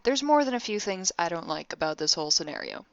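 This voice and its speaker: A woman playing a wry, deadpan, exasperated young spaceship crew member recording a personal audio diary in a sci-fi audio drama. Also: fairly low pitch, fast talker, no room sound, muffled recording. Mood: matter-of-fact.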